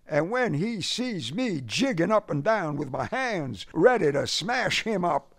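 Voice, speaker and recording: A brash, hot-tempered Southern ex-boxer uncle, as a male narrator acts him out, pitched well up and very animated. He is loud, folksy and boastful, a complainer and tall-tale teller from a period audiobook. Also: a little slow, no room sound, studio quality, heavily acted. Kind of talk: audiobook character voice in a period story.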